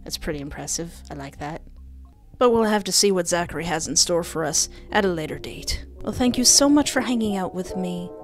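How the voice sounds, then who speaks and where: A woman's voice: on the low side, expressive, a little fast. Woman narrating and commenting on a game. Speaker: gaming video host. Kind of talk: let's play commentary with dramatic read-aloud narration. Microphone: close desk microphone with headset. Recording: music behind.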